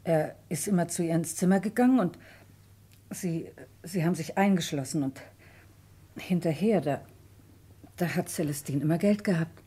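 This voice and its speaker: A woman playing a timid, earnest young farm woman in a radio crime drama, speaking softly and haltingly. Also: on the low side, slightly rough. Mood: confiding. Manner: hesitant, halting.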